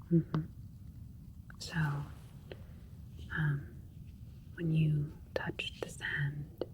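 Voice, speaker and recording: A woman playing a calm, caring alien doctor in an ASMR roleplay, speaking in a soft breathy whisper with a gentle, clinical, reassuring manner. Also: sits low, low-quality recording.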